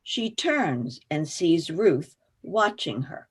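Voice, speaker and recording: Slow-paced, lowish-voiced woman narrator. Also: big pitch swings, slightly rough. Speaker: narrator reading stage directions. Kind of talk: scripted narration.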